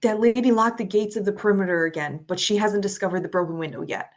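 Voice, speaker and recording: A woman's voice: medium pitch, quick pace, natural voice. A woman playing a caring, anxious young friend on a rescue, speaking earnestly and urgently in a screenplay table read.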